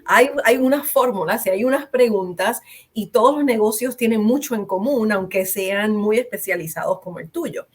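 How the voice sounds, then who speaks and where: A woman who advises small businesses, calmly explaining ideas in a casual podcast conversation. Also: mid-range voice, moderate pace, husky. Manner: calm and explanatory, with some false starts.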